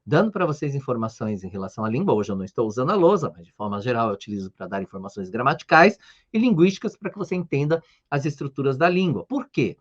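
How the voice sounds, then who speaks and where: A man's voice: medium pitch, big pitch swings, fast, muffled recording. A middle-aged man with a Brazilian Portuguese accent, a language teacher explaining grammar to adult learners, tagging his points with rhetorical questions. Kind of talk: talking-head language lesson.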